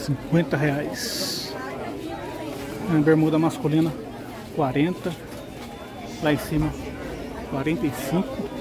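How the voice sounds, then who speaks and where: A man's voice: on the high side, level tone, slow pace, long pauses. A man with a Brazilian accent giving casual walk-through commentary as a vlogger. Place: outdoor covered street market. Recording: busy street crowd behind the voice.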